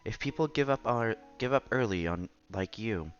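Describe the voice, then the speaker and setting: A man's voice: fairly low pitch, expressive, moderate pace, lo-fi sound. A man streaming a visual novel, reading its narration and dialogue aloud expressively. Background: game music.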